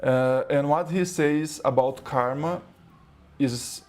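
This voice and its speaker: A man with a Brazilian Portuguese accent, a spiritual teacher speaking to camera in English, his second language, halting and searching for words. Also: mid-range voice, a little mellow.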